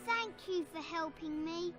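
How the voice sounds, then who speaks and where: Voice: extremely high pitch, measured pace, music in the background. A performer playing a trusting, innocent little farm child in a fantasy game, talking simply and hesitantly. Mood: awed, grateful.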